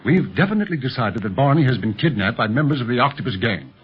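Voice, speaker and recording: A steady, take-charge detective hero in a vintage radio serial. His medium-pitched voice is husky and earnest, giving orders with authority. The sound is muffled and old. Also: fast. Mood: grave, earnest. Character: capable, commanding male secret police agent, steady uncle. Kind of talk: 1930s radio serial acting. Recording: muffled 1930s radio recording.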